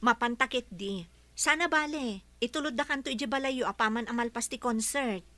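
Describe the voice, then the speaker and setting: An actress playing a chatty, coaxing young best friend in a radio drama, with a Filipino accent. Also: female voice, a little high, normal speed, bright, forceful tone, no room sound.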